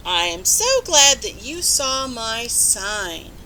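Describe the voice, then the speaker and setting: Woman voicing an eager, high-pitched little bird teacher. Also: big pitch swings, takes it slowly, gravelly, pushed and bright, slight background noise, exaggerated voice, low-quality recording. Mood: cheerful. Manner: exclaiming, chirpy, declamatory. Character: eager little yellow bird teacher, female, cheerfully insistent. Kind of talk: children's storybook read-aloud.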